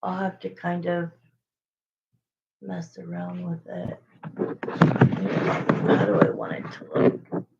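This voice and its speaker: A woman with a raspy voice doing a casual livestream tarot reading, chatting and thinking aloud between viewer questions. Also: on the low side, flat delivery, slow, pauses often, heavy background noise. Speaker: tarot reader. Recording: echoey, dull lo-fi room sound.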